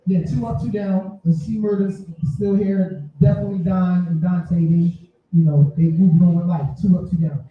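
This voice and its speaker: A man's voice: sits high, steady tone, moderate pace. A man in his forties telling stories casually and boisterously to a live audience, often repeating phrases for effect. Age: 40s. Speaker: podcaster telling their story at a live event. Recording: distant mic, very echoey room, muffled.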